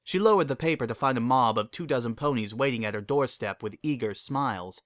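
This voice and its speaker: A man's voice: mid-range voice, brisk, fairly bright tone, no echo, studio quality. A man narrating a scripted dramatic reading of a story.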